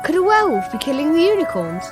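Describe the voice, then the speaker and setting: Young wizard boy, excitable, high-pitched, lively swings, video game cutscene with music. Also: quick pace. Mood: anxious.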